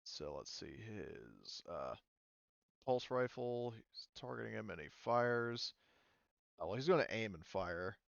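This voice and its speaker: A man running a tabletop roleplaying game as game master, talking casually and haltingly as he thinks aloud. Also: lowish voice, big pitch swings, gravelly, no room sound, dull audio. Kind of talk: running a tabletop roleplaying game, improvised narration and rules talk.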